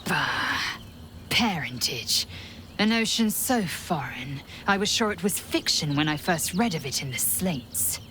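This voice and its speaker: An actress playing a stern, proud alien warrior woman in a video game, clipped and commanding, with a breathy, hissed edge and music behind her. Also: low-pitched, lively intonation, measured pace, a bit bright. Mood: stern, protective. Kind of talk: video game character acting.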